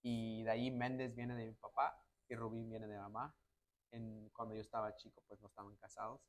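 A young man from the United States, a footballer answering interview questions in a hotel lounge, halting and restarting often and slipping English words into his replies. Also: on the low side, little pitch movement. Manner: halting, many restarts and "me entiendes" tags, mixes in English words. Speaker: national team footballer, interview guest. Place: hotel lounge sofa, indoors.

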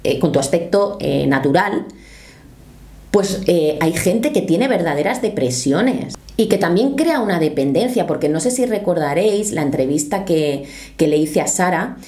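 A woman's voice: lowish voice, lively intonation, moderate pace, warm tone. A woman, talking earnestly to camera from a small home studio and reading quotes aloud. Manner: earnest, explanatory, gestures with her hands. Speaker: youtuber commentator.

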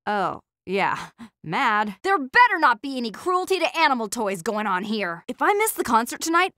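Snarky goth teen girl for a video game, cynical eco hero, super animated, dry close sound. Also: fairly high pitch, moderate pace, no room sound. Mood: indignant, sarcastic. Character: sarcastic, feisty teenage goth eco-activist girl heroine. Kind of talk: video game character voice lines.

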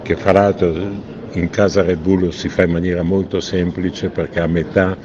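A man giving a measured technical voice-over about racing car setup, pausing now and then to correct himself. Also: low voice, flat delivery. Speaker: technical analyst. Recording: dull lo-fi voice-over with noticeable background noise.

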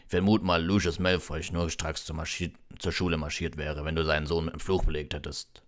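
A man's voice: rumbling low, level tone, brisk. A man voicing a big, friendly groundskeeper in a storybook, folksy, hearty and teasing.

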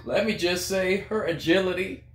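A man's voice: high-pitched, normal speed, loud music behind the voice. A young man giving casual, amazed reaction commentary as a video host, repeating words for emphasis, recorded indoors a little way from the camera. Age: young adult. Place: small room indoors, beside a TV. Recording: roomy, echoey indoor sound.

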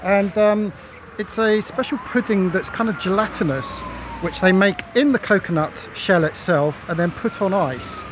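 Male vlogger, middle-aged, slightly high voice, casual narration with clear background noise. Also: moderate pace. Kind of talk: casual vlog narration to camera. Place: on location: streets, car, offices. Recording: clear ambient noise, traffic and rooms.

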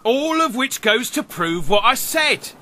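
An actor playing a stern, dutiful pirate in an audio drama, forceful and defiant. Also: male voice, extremely high pitch, very animated, unhurried, loud music behind the voice, exaggerated voice. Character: stern, dutiful male pirate, loyal to his captain.

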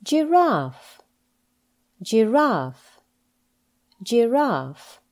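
A woman English teacher reading scripted pronunciation practice with deliberate, careful enunciation. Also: fairly high pitch, very animated, a little mellow. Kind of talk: scripted lesson narration, reading words for practice.

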